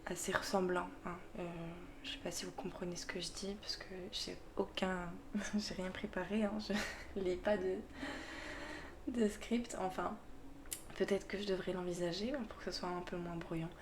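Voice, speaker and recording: A woman with a fairly low voice talks slowly and without a script, stopping and restarting her sentences. She often drops to a soft, half-whispered tone and laughs quietly at herself. A faint hiss sits under her voice. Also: fairly even intonation. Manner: slow, hesitant, with many fillers and restarts. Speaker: tarot reader and channel host. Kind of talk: unscripted casual vlog monologue.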